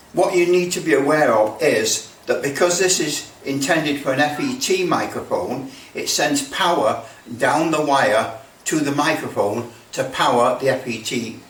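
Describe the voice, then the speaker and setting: A man in his sixties giving a casual hands-on explanation, rambling and haltingly thinking aloud as he goes. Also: medium pitch, fairly bright tone. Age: 60s. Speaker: radio repair video maker. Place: small indoor workshop with block walls. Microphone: camera mic at a distance. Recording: roomy, distant mic, background noise.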